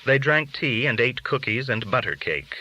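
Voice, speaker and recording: A man reading a literary story aloud as an audiobook narrator, with expressive, animated delivery. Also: mid-range voice, moderate pace, lo-fi sound, slight background noise. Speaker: audiobook reader.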